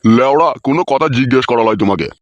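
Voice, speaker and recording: Guy doing grumpy suspicious old village dad, cartoon, quick talker, dry muffled audio. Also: medium pitch, animated, no echo, natural voice. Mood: grumbling, aggrieved. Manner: grumbling, crude. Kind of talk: comedy cartoon dialogue.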